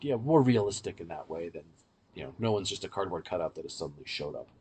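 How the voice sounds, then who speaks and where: A man's voice: low voice, lively intonation, quick pace, darkish tone, a little hiss or hum. A man hosting a review podcast, explaining things in a casual, conversational way. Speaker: podcast host reviewing audio dramas.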